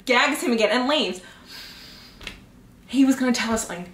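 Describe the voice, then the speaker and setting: A young adult woman chatting casually about books in an expressive, informal way. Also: fairly high pitch, normal speed. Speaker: book vlogger. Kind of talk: casual chat. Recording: echoey room, audible background noise.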